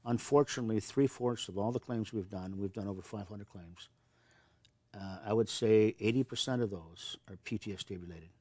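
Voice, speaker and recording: A man's voice: lowish voice, moderate pace, a little mellow, no room sound. A man in his sixties from Montana, a veterans service officer answering interview questions earnestly, often repeating words as he starts a thought.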